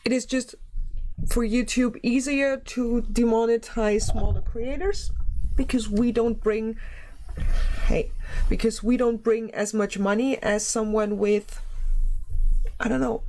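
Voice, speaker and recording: A woman's voice: fairly high pitch, fairly even intonation, a little slow, a little mellow, a little hiss or hum, low-quality recording. A woman hosting a casual commentary video, a little sick so her voice sounds slightly off, chatty and giggly. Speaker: YouTube commentary host. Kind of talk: casual talk to camera.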